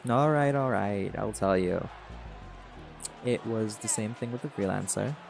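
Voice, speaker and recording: A man's voice: fairly low pitch, unhurried, vocal fry. A man voicing a chill, cocky male jaguar shifter who is a pack alpha, talking in a teasing, laid-back way. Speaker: audio roleplay performer. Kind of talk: scripted audio roleplay monologue. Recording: music playing behind. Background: party music.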